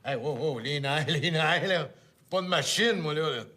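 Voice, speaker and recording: An actor playing a brash, boastful middle-aged Québécois man with a husky Québécois French accent, loud and blustering. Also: on the high side, normal speed. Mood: flustered. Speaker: political speaker.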